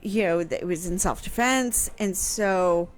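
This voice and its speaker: A woman's voice: lowish voice, measured pace, faint music behind. A woman explaining history in casual conversation on a radio show, piecing her sentences together hesitantly. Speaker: history show host answering questions.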